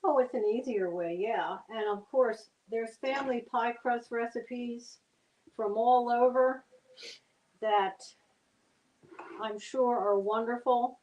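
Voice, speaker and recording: A woman's voice: fairly high pitch, fairly even intonation, takes it slowly, darkish tone, reverberant, muffled recording. Homey older woman explaining a recipe. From New Jersey. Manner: homey, explains steps plainly. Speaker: guest cook. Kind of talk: cooking demonstration chat.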